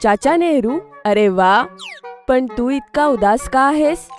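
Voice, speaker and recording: A woman plays an encouraging mother in a children's cartoon story. She explains things in a soothing way, with a fairly high voice. Soft music runs behind. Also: lively intonation, takes it slowly. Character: gentle encouraging mother of a young boy.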